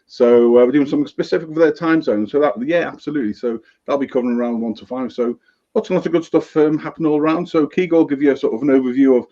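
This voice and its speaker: A man's voice: medium pitch, quick pace, warm tone. A male show host chatting casually and upbeat on a live stream, calling people mate, heard off-screen over a remote feed. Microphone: remote audio feed, off-screen. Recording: lo-fi dull sound.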